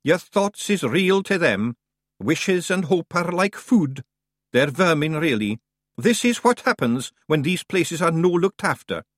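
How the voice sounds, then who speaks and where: A cheeky little Scottish fairy warrior, high and bouncy, rattling off broad dialect. His voice lifts and swoops all over, heard close and dry. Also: sing-song, a little slow, dry recording. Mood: wry. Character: tiny rowdy Scots fairy warrior man. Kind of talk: audiobook character voice.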